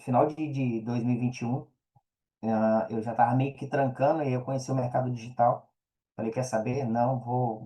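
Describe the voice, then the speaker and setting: Mellow-voiced Brazilian man, casual and earnest. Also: mid-range voice. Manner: colloquial, earnest, repeats phrases for emphasis. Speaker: podcast guest, affiliate marketer and mentor. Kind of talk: casual podcast conversation.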